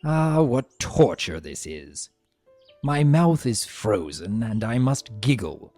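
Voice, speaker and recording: A man voicing a vain, spiteful, sarcastic trickster creature trapped as a statue, telling its story with theatrical, sneering, drawn-out emphasis. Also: medium pitch, sing-song, warm tone. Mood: seething, gleefully spiteful. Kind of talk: dramatic first-person story reading. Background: music.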